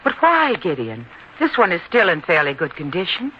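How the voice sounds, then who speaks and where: A woman acting a patient, worried widowed mother on a farm in an old-time radio drama, reasoning gently and in measured phrases. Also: fairly low pitch, huge pitch swings. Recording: dull, lo-fi radio recording with hiss.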